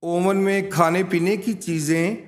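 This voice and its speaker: Slow, high-pitched male narrator. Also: fairly even intonation. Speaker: narrator of a home remedies and quotes channel. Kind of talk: scripted voice-over narration of tips and sayings.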